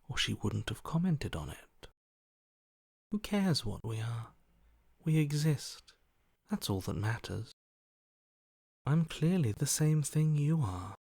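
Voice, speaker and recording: A man's voice: medium pitch, creaky, darkish tone, husky, no room sound, clean studio sound, heavily acted. A man voicing a cold, amoral voice inside someone's head in a video game, detached, matter-of-fact and dryly sardonic. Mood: detached, calculating. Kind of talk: video game character acting.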